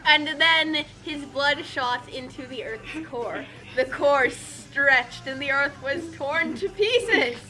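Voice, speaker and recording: A woman's voice: high voice, slow pace, hardly any pauses, low-quality recording. A woman chatting casually and joking as she co-reads a comic story. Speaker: co-reader. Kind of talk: casual comic banter and read-along. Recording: prominent music over the voice. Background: loud background music.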